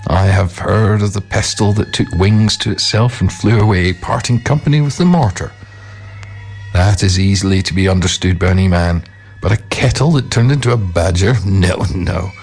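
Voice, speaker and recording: A man's voice: low voice, moderate pace, darkish tone, faint music behind. A man voicing a pompous, fussy holy man in a storybook, with an old-fashioned, blustering manner. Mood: dismissive. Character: pompous old male priest, fussy, easily frightened.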